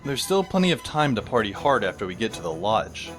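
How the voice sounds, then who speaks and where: A man's voice: on the low side, sing-song, fairly quick, fairly bright tone. A man narrating as a wry, sarcastic teenage boy with a dry, sardonic manner, over background music. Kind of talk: fan audio drama narration.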